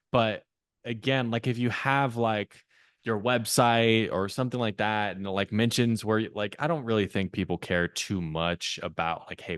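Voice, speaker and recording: A man's voice: fairly low pitch, no room sound, studio quality. A man coaching a salesperson on a video call, talking casually with lots of "like" fillers. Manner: casual, filler-heavy, frequent "like".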